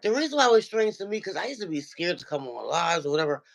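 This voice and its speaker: A man with a deep, nasal voice and a gravelly edge, speaking a little higher than most men. He tells an online story with energy and acts out each quoted line as he goes. His tone is casual and chatty. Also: very animated, quick pace, over a noisy crowd. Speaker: regular viewer calling into a livestream. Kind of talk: casual chat, retelling an online argument.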